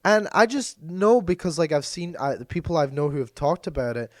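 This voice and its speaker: A man co-hosting a casual chat podcast, hoarse and losing his voice from being sick, talking in a meandering, hesitant way that often corrects itself. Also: fairly high pitch, a little fast, no echo, studio quality. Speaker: podcast co-host.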